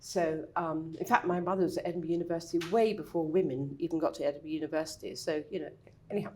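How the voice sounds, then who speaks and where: A woman's voice: low voice, quick pace, a little hiss or hum. A woman in her sixties from England with a southern English accent, telling her life story as an interview guest. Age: 60s. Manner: relaxed and matter-of-fact, with brief yeps and restarts. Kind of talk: casual interview.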